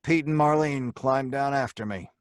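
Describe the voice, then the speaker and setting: A man's voice: medium pitch, moderate pace, clear, resonant tone, dry recording, clean studio sound, close to an everyday voice. An actor playing a brash, mocking crook who narrates his own tale in slangy old-time radio drama style. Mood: tense storytelling. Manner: slangy, hard-boiled tough talk.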